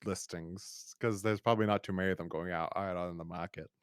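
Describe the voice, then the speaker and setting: American male podcast host, on the low side, chatty and stumbling over restarts, recorded dry. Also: steady tone, a little fast, clear, resonant tone, dry recording. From United States. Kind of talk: casual review podcast chat.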